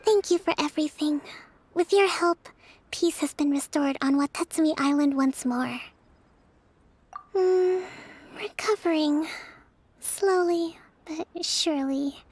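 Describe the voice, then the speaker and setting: A woman voicing a gentle, composed young priestess leader in a video game, speaking in a measured, formal and earnest way. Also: high voice. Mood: soft, reflective. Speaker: military commander and priestess.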